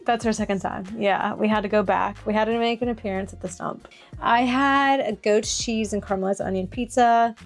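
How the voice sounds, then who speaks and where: A woman's voice: medium pitch, steady tone, brisk, faint music behind. A woman travel vlogger chatting casually to the camera. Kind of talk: casual vlog chat.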